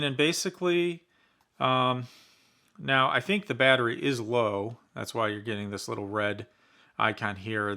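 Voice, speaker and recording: Bright-voiced man reviewing a product casually. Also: medium pitch. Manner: casual, conversational. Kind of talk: casual unboxing commentary. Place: indoors in a basement.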